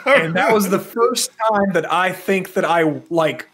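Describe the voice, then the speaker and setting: A man's voice: sits high, a little slow, fairly bright tone, slight background noise. A man from the southern US with an American accent, co-hosting a podcast in a casual, joking, opinionated way. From southern United States. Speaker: podcast co-host. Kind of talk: casual chat.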